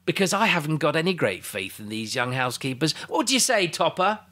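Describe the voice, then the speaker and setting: A cheerful young gentleman from a Victorian storybook, acted out by a male narrator. His pitch leaps about in a very animated way. He is hearty and good-natured, full of exclamation. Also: a little fast, exaggerated voice. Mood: teasing. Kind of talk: Victorian storybook character dialogue.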